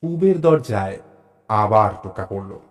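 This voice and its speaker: A man narrating a suspense story in the first person, in a measured, theatrical way. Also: on the low side, animated. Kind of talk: dramatised suspense story narration, acting out dialogue.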